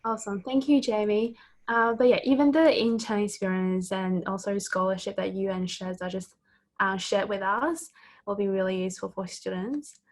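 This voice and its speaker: A woman hosting an online panel, handing over to each speaker with brisk, friendly cues. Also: mid-range voice, fairly even intonation. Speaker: event host. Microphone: video call.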